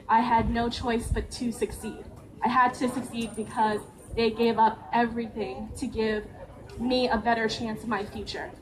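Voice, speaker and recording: A young woman gives an earnest personal speech at a community gathering. Her voice is fairly high but quite flat in its melody, and she fills pauses with soft ums. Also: poor audio. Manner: earnest, steady, with frequent ums. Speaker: nonprofit vice president, guest speaker.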